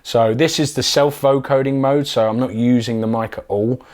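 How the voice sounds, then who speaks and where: A man's voice: medium pitch, fairly even intonation, normal speed, a little mellow. A man presenting a casual product demo tutorial in an informal, enthusiastic way. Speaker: synth demonstrator, presenter. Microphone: microphone.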